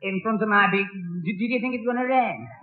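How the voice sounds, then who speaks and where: A man playing a soft-hearted, lovesick young man in a 1960s radio sitcom, flustered, stammering and easily muddled. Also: sits high, quick pace, a crowd in the background, close to an everyday voice. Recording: roomy, tinny old radio broadcast recording.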